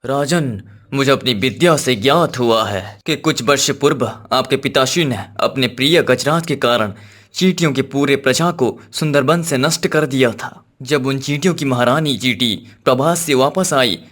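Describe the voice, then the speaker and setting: A man voicing a solemn, measured holy sage. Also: mid-range voice, a bit bright, natural voice. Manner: formal, measured, Sanskritized diction. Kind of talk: cartoon moral-story acting.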